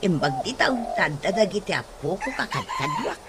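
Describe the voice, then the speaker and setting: A woman's voice: medium pitch, wildly swooping pitch, normal speed. A woman with an Ilocano accent acting a long-suffering older mother in a radio comedy drama. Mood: weary, resigned.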